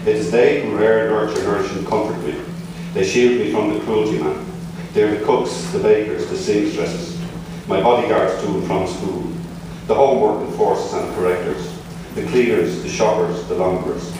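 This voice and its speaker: An Irish man reading his own memoir piece aloud at a book launch, measured with dry asides. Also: fairly low pitch, steady tone. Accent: Irish, not from Limerick. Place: large echoey museum hall at a book launch. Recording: echoey hall, voice from far off, noisy.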